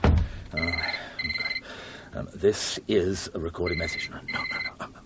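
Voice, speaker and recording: A man's voice: sits low, wildly swooping pitch, measured pace, heavily acted. A man acting a flustered, disorganised publishing editor in a radio drama, hesitant, stammering and evasive. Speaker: actor in a radio play. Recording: dull radio recording with heavy noise.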